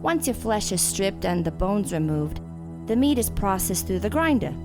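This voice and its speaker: A woman voicing a calm, murderous female gardener who speaks softly and without hurry, in an audio drama with music behind her. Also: mid-range voice, natural voice. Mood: cold menace. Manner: soft, unhurried, menacing.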